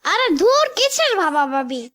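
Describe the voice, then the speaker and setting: A cartoon performer voicing a small, cheeky, excitable child with whiny, impatient retorts. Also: very high-pitched, moderate pace, exaggerated voice. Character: small cheeky excitable child, easily frightened but stubborn. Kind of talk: cartoon dialogue.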